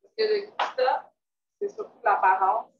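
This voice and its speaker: A woman's voice: high-pitched, very animated, a little slow, lo-fi sound. A woman co-presenting a live vegan cooking demonstration, chatty and casual. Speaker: cook co-presenting.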